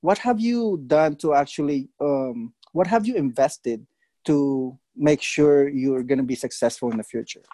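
A man of Filipino origin hosting a podcast, friendly and halting, with frequent fillers. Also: a little high, mellow, no echo. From Philippines. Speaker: podcast host. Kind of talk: casual interview hosting.

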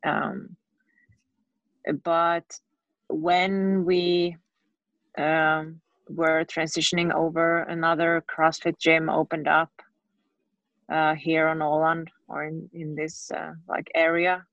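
A woman who co-owns a gym, answering interview questions steadily, with many pauses and fillers. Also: sits low, little pitch movement, fairly bright tone, no room sound. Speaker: gym owner and coach. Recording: dull, dry lo-fi sound.

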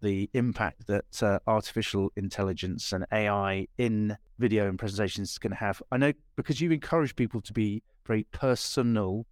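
A man's voice: on the low side, brisk, hardly any pauses, no echo. Hesitant male podcast host, dry sound. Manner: hesitant, many ums and restarts. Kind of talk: casual podcast interview.

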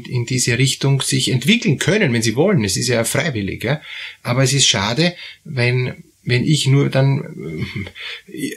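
Halting husky man giving advice. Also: mid-range voice, a bit bright. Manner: halting, many fillers and repeated words. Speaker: therapist host. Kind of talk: advisory podcast talk.

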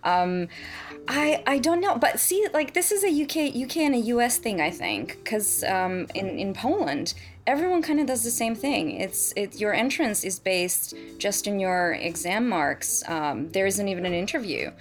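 A woman's voice: mid-range voice, sing-song, moderate pace, faint music behind. Hesitant woman surgeon chatting. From Serbia. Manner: thoughtful, hesitant with many ums and restarts. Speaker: surgeon guest. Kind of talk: casual podcast chat.

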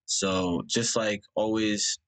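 A man's voice: lowish voice, steady tone, slow, a bit bright. A young man from India whose first language is Punjabi, a guest thinking aloud about his past in a rambling way, full of fillers and restarts. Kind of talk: reflective personal conversation.

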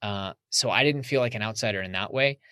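A man's voice: lowish voice, a little fast, bright, forceful tone, clean tone, no room sound, studio quality. A man from the United States, a podcast guest, talking casually and reflectively in an interview.

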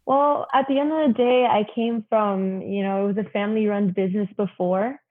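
A woman's voice: mid-range voice, moderate pace. Young woman on a tinny line. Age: 20s. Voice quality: young-sounding voice. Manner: chatty, frequent "you know" fillers. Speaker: guest. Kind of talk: casual interview talk.

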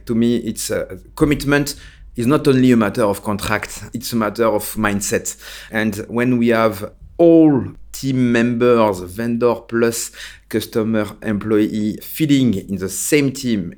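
A man's voice: medium pitch, a little mellow. A man giving explanatory interview answers as a consultant, in short emphatic phrases. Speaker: technology consultant, interview guest.

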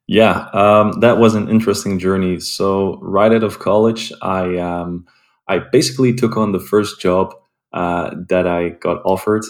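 A young man from Belgium, a sales rep, calmly answering interview questions with frequent ums and uhs. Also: sits low, a little slow. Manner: calm, hesitant with frequent ums. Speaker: guest, sales development rep.

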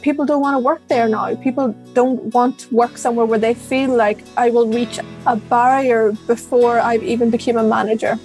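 A woman's voice: fairly high pitch, fairly even intonation, normal speed, hardly any pauses, a little mellow, soft background music, rough recording. A woman with an Irish accent, a diversity and inclusion director, answering interview questions in a reflective, candid way. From Ireland. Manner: reflective, candid, with light laughs.

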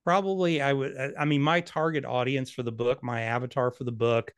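A man's voice: medium pitch, steady tone, fairly quick, clean tone, dry recording, clean studio sound. A man in his fifties, an author and financial planner, answering interview questions in a blunt, candid, self-deprecating way. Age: 50s.

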